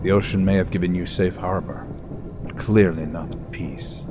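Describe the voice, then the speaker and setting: A man's voice: sits low, moderate pace, close to an everyday voice. An actor playing an anxious man at sea in an audio drama, theatrical and urgent. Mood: reproachful. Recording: noisy storm ambience over voice.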